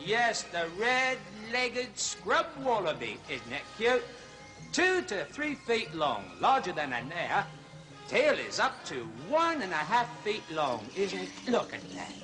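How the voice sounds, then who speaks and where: A man's voice: high-pitched, slow, over music, rough recording. A man playing a fussy, chattering comic zoo show host. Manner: excitable, chattering, fussy showman.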